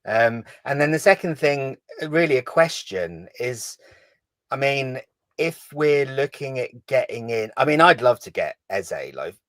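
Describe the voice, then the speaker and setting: Male caller from England: slow, hesitant, lowish voice, dry sound. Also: big pitch swings, a bit bright, dry recording. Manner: slow, hesitant, thinking aloud with fillers. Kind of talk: casual call-in chat.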